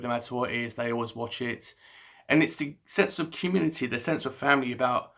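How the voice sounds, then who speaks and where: A man vlogging from home in the evening with a gravelly, creaky edge to his voice. His tone is bright and forward. He speaks haltingly, full of ums, restarts and a slight stutter. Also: mid-range voice. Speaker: book vlogger. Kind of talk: casual unscripted chat to camera.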